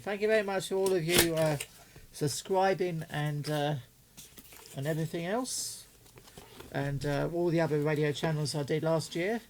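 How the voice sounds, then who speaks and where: Chatty man over background noise. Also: a little high. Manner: enthusiastic, chatty, exclaiming. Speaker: radio hobbyist video host. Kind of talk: casual unboxing commentary.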